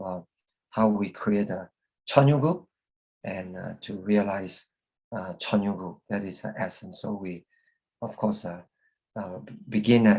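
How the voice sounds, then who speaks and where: A man from Malaysia giving a church presentation, halting and full of fillers and restarts. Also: lowish voice, darkish tone, rough recording. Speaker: presenter, reverend.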